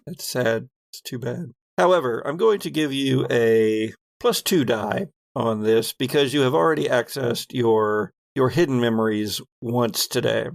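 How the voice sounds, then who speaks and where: A man narrating a tabletop roleplaying game as game master, telling the scene in a halting, deliberate way. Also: mid-range voice, gravelly.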